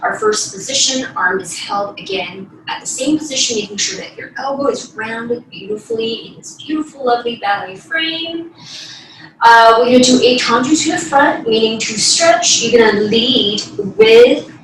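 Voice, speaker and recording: A woman's voice: sits high, expressive, normal speed, fairly bright tone, slight background noise. A woman ballet teacher leading an online class from a bedroom, giving short, encouraging cues while demonstrating, picked up by a camera across the room. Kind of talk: instructing an online dance class. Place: bedroom, indoors. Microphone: camera mic across the room. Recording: echoey room, distant mic, music over voice. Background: music.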